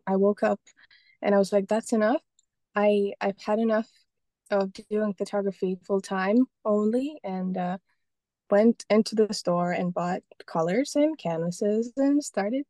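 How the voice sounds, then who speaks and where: A young woman from Estonia talks about her work in a relaxed, rambling way, full of fillers and restarts. Her voice is warm and mellow, and it sounds close and dry, with no room sound. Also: medium pitch, dry recording. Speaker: guest, painter and photographer. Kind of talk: casual interview talk.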